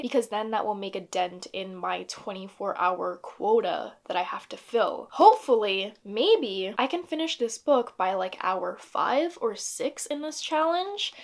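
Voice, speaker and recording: A woman in her twenties talking casually to camera in a reading vlog, chatty and earnest, in a small home office. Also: mid-range voice. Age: 20s. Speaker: book vlogger.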